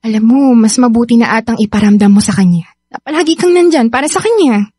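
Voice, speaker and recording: A woman's voice: on the high side, fast talker, warm tone, slightly rough, no echo, studio quality. A Filipino-accented woman acting in a radio drama as a patient, concerned friend giving sensible advice. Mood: concerned, advising.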